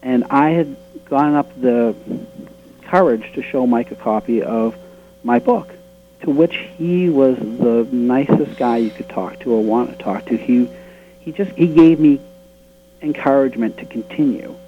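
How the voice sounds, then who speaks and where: A man's voice: mid-range voice, expressive, measured pace, a little hiss or hum. A man with a Boston accent, a webcomic creator being interviewed, talking earnestly with many restarts. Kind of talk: casual interview talk. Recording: tinny phone-line sound.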